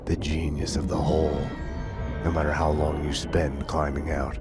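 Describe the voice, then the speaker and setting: An actor playing a world-weary, fatalistic detective who narrates his own downfall in a grim, deliberate noir style. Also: level tone. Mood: brooding, fatalistic. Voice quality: very deep, low-voiced. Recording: loud music behind the voice. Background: loud game soundtrack music.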